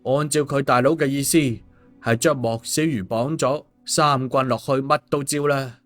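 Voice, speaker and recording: Guy narrating a story, chatty wording, medium pitch, faint music behind. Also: steady tone, normal speed, a bit bright. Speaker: audiobook narrator. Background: background music.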